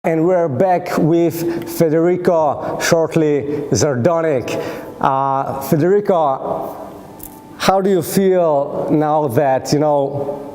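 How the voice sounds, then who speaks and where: A man hosting a podcast interview, asking halting questions with many fillers and restarts. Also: a little high, mellow. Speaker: podcast host. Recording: roomy, reverberant sound with faint ambience.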